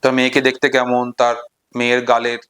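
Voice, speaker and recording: A man with a Bengali accent presenting literature, retelling and explaining stories in a deliberate way with pauses and repeated phrases for emphasis. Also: medium pitch, monotone, fairly bright tone, clear, resonant tone. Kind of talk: explanatory storytelling and literary commentary.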